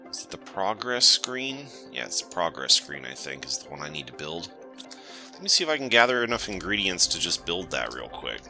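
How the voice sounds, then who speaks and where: A man's voice: low voice, fairly quick, faint music behind. A man with a cold hosting a gaming channel, chatting casually and thinking aloud as he plays. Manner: relaxed, thinking aloud while playing. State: has a cold. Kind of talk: casual let's-play commentary.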